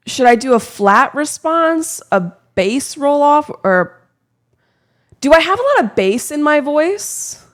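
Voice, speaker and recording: A woman's voice: high voice, very animated, slow pace. A young woman streamer chatting casually, self-deprecating and fussing with her microphone setup. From lives in Texas. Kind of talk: casual live-stream chat.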